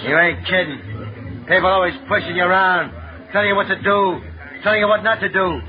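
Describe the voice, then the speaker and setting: A man's voice: sits high, brisk, natural voice. An actor playing a frustrated, put-upon man who is bitter about his life, in an old dramatic film style. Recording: dull old film soundtrack, loud music.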